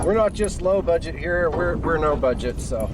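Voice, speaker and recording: A man's voice: on the high side, animated, normal speed. A man narrating a casual, unscripted hobby vlog in a folksy, self-deprecating way, recorded on a camera mic. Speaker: vlogger presenting a hobby equipment test. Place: outdoors and around a vehicle, handheld or mounted camera. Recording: clear wind and vehicle noise.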